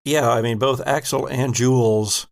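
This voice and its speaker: A game master with a gravelly, fry-edged voice runs a tabletop session. He calls for rolls and tells players what their characters notice in a steady, matter-of-fact manner. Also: medium pitch, a little slow. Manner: steady, directive.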